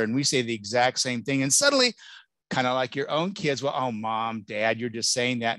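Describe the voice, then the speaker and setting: A middle-aged man speaks to parents through his computer mic on a video call. He is chatty, jokey and reassuring, and his voice sits at a middle pitch. The sound is close and dry, with no room echo. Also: a bit bright. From Southern California. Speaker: college admissions speaker.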